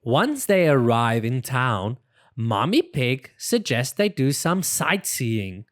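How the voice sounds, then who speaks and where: An expressive storybook narrator for young children speaks at a slow, careful pace with a very lively range. The delivery is deliberate and clearly shaped for reading aloud, in a dry recording. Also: mid-range voice, no room sound. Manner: expressive, deliberate, voices the characters. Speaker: storybook reader.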